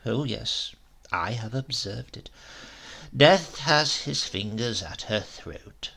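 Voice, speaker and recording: A man's voice: mid-range voice, animated, slow, gravelly, sharp tone, pitched below the performer's own voice, exaggerated voice. A man voicing a callous, obsessed Italian professor in a horror story, pompous and theatrical. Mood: coldly determined.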